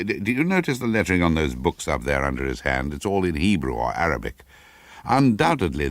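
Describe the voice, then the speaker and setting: A man's voice: extremely low pitch, creaky, dry recording, full character voice. A man reading aloud as a cultured, well-bred English husband, urbane and casually knowing, in a radio story. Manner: light, urbane, casual lecturing.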